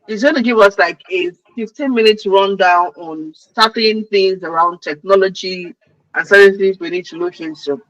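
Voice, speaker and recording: A woman's voice: mid-range voice, moderate pace, fairly bright tone, dry recording, highs cut off. A woman informally hosting a webinar over a video call. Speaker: webinar host. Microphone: online video call microphone.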